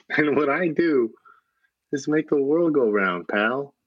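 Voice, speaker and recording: A man playing a cocky, creepy company executive running a deadpan internship interview in an improvised comedy podcast. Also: mid-range voice, takes it slowly, dry recording, highs cut off. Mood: smug, boastful.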